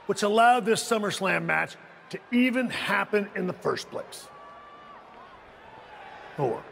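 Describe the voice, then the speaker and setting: An actor playing a scheming, condescending wrestling faction leader in a game cutscene, speaking in a measured, stern, gravelly way. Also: male voice, high voice, fairly bright tone, a little hiss or hum, full character voice.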